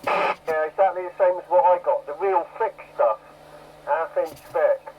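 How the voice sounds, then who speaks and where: A man's voice: a little high, a little slow. An agreeable man chatting casually over CB radio, often repeating himself. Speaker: amateur CB radio operator. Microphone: CB radio set. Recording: dull, lightly hissy CB radio.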